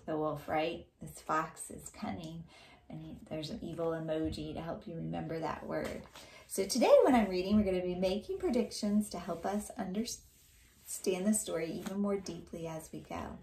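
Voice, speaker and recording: A woman's voice: on the low side, moderate pace, warm tone, roomy sound, slight background noise. A woman teacher explaining things to young students in a read-aloud lesson, with simple, explanatory phrasing.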